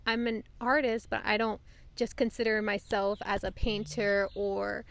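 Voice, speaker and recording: A woman's voice: mid-range voice, flat delivery, unhurried, creaky, a bit bright. A young woman hosting a casual podcast chat outdoors on a couch, loose and chatty with lots of fillers. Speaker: podcast host.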